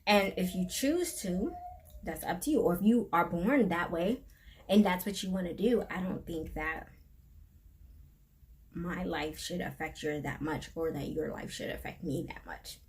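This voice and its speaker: A woman's voice: lowish voice, unhurried, darkish tone. A middle-aged woman co-hosting a casual live stream, chatty and laughing often as she tells stories.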